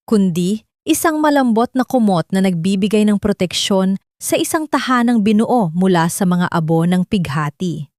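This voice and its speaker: Female storyteller, mid-pitched, measured and sentimental, scripted drama narration, dry studio-like sound. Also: a little mellow, no room sound. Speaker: narrator of audio love stories.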